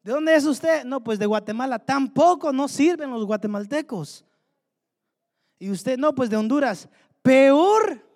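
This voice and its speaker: A man's voice: very high voice, lively intonation, a little slow, gravelly, a little mellow. A man preaching a sermon, fervently asking the congregation rhetorical questions. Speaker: pastor. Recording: long hall reverb.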